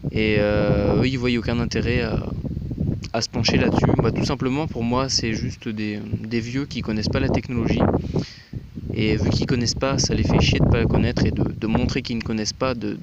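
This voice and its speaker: A man's voice: medium pitch, steady tone, fairly quick. A man giving spontaneous, critical commentary as a radio hobbyist, often repeating words as he searches for the next one. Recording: dull lo-fi sound, heavy noise, clicks.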